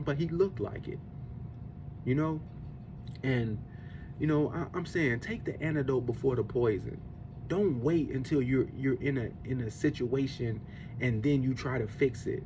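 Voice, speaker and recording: A man in his forties speaks in an earnest, preachy way, delivering a faith-based motivational monologue. His pitch sits in an ordinary middle range. A clear rumble of a vehicle runs underneath the recording. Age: 40s. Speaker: podcast host.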